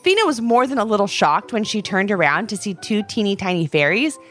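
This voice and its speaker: A woman's voice: mid-range voice, expressive, fairly quick. A woman narrating a scripted children's story expressively, with dramatic emphasis. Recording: music under the voice. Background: music.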